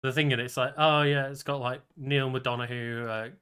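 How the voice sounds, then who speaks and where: A man's voice: medium pitch, fairly even intonation, moderate pace, sharp tone, clear, resonant tone. A man co-hosting a podcast in casual, conversational banter. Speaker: podcast co-host.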